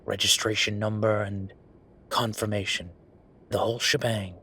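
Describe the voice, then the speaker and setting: A man's voice: on the low side, level tone, moderate pace, bright, forceful tone, breathy edge. A man playing an angry, bitter werewolf boyfriend who vents with sweary sarcasm in a dramatic audio roleplay monologue. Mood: exasperated. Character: angry, exasperated male werewolf boyfriend, bitter office worker.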